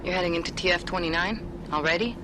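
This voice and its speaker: Game role: casual male hacker-type ally, played by a man. Fairly high voice, brisk, noisy urban backdrop. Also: lightly acted. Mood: brisk, wry. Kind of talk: video game cutscene acting. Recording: clear urban noise behind the voice.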